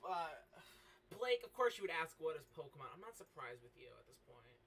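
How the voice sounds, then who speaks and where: Excitable high-voiced man hosting a stream. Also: fairly quick. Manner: excitable, sweary. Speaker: livestream host and amateur voice actor. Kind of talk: casual livestream chat. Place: online group voice call. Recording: noisy, distant mic.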